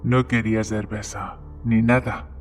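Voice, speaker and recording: A man's voice: fairly low pitch, little pitch movement, measured pace, faint music behind, lightly acted. A man playing a plain-spoken small-town bartender in a horror story, matter-of-fact in manner.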